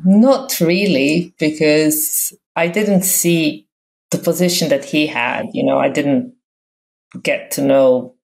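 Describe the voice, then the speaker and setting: A woman from Croatia in her fifties, speaking English as a later language, talks through her background as a podcast guest. Her voice sits very low for a woman. She is reflective and stops often with fillers and restarts. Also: slow pace, gravelly, darkish tone. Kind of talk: casual interview talk.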